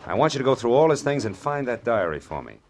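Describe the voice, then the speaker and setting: An actor playing a tough, wry private detective, terse and wisecracking, in a 1940s radio drama. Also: male voice, medium pitch, big pitch swings, quick pace, a little hiss or hum, close to an everyday voice. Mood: cool, businesslike.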